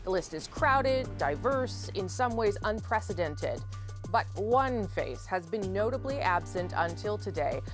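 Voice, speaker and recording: Female news anchor, measured and clear. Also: medium pitch, expressive, over music.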